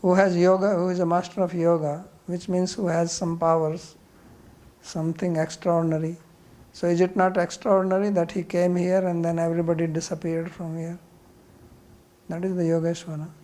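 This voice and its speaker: Mellow Indian man teaching scripture. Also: a little high, flat delivery, moderate pace. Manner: blunt, questioning, explanatory. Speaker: spiritual teacher. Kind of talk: scripture class question and answer.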